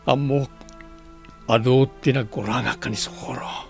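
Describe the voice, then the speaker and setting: A man acts out an anguished Ilocano husband in a radio drama. His mid-pitched voice is full of fry, and he speaks in a pleading, remorseful way over faint music. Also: steady tone, measured pace. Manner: pleading, prayerful.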